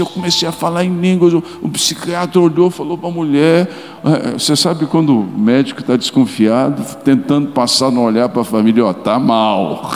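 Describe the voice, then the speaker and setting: A middle-aged man with a Brazilian Portuguese accent preaching a sermon and telling a story, moving between quiet asides and sudden loud exclamations. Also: on the high side. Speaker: pastor. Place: large church auditorium. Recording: live church sound with long reverb and faint music.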